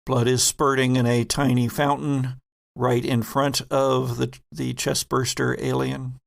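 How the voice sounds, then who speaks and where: A man's voice: mid-range voice, flat delivery, unhurried, vocal fry, dry recording, studio quality. A man narrating a horror sci-fi tabletop game as game master, with wry, vivid description.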